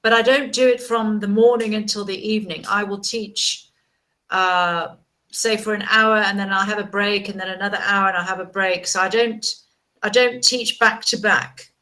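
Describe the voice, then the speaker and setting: Woman, online English tutor, bright tone, encouraging, repeats herself. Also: medium pitch, steady tone, moderate pace, low-quality recording. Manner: encouraging, chatty, repeats words. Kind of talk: casual video-call chat.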